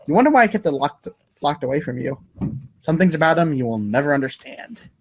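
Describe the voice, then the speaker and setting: A man's voice: fairly high pitch, big pitch swings, brisk, husky. A man giving a wry, theatrical dramatic reading as a podcast narrator, now and then tripping over long words.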